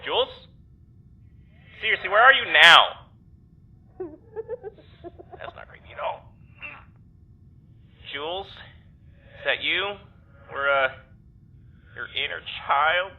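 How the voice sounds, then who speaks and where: An actor playing a snarky, uneasy young man exploring a strange mindscape in an audio drama, speaking in a halting way. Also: fairly high pitch, expressive, exaggerated voice. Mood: unnerved, sardonic. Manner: hesitant, halting, sardonic. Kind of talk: naturalistic audio drama acting. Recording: tinny phone-like filter with background noise.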